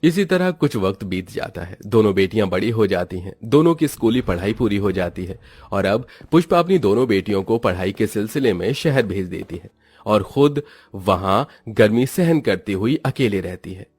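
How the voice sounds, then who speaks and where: A man's voice: fairly low pitch, lively intonation, normal speed, a little mellow, husky, no echo. A man narrating a scripted cartoon story as its storyteller.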